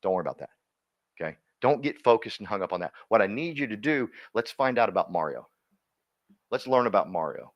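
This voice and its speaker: A man leads a workshop in front of a live room, his pitch swinging up and down as he teaches. He is energetic and folksy, constantly quizzing the crowd and drawing answers out of it. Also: brisk. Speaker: workshop coach. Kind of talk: interactive seminar teaching.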